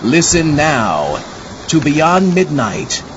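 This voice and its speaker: An eager, gullible zombie astronaut host, acted by a man, delivers scripted comic horror patter with very lively intonation. The audio is dull, with clear background noise. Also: fairly high pitch, drawn-out words, exaggerated voice. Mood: showmanlike.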